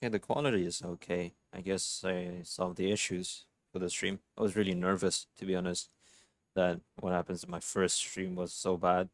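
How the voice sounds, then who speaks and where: Low-voiced man streaming a game. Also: level tone, normal speed, dry recording. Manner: rambling, offhand, informal. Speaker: live streamer. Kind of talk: casual live game reaction commentary.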